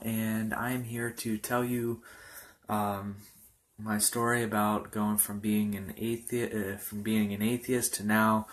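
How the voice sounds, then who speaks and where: A man in his early twenties, a pastor, telling his personal testimony in a halting, earnest way with many fillers and restarts. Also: on the low side, fairly bright tone. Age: young adult. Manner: earnest, informal.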